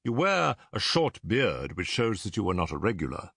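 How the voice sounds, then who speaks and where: A man gives voice to a self-assured storybook detective with a low, animated voice. He is precise and commanding, slightly condescending, and recorded close and dry. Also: normal speed, no echo, exaggerated voice. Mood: incisive, commanding. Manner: crisp, incisive, condescending. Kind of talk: audiobook character dialogue.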